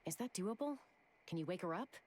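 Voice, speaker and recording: A woman's voice: lowish voice, expressive, brisk, a little mellow. A woman playing a composed adult ally in a video game, speaking in a casual, matter-of-fact way. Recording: faint game music under voice.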